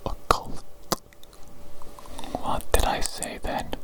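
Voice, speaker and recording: A woman performs an ASMR medical roleplay entirely in a breathy whisper. She plays a fussy evil doctor, moving slowly through phrases and softly repeating them. Her delivery rises and falls expressively while staying unvoiced. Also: extremely high pitch, very animated.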